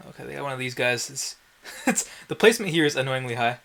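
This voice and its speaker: A man's voice: medium pitch, animated, quick pace, vocal fry, fairly bright tone. A man giving casual gameplay commentary in a wry, self-deprecating way, with some mild swearing. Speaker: let's play host.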